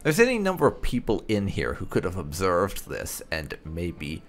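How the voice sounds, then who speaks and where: A man giving casual commentary on a game playthrough, halting as he thinks aloud. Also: low-pitched, huge pitch swings. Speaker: gaming video host. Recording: faint game music behind.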